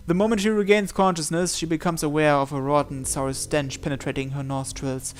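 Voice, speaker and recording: Higher-pitched man, dramatic read-aloud narration. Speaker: visual novel let's player. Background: game music.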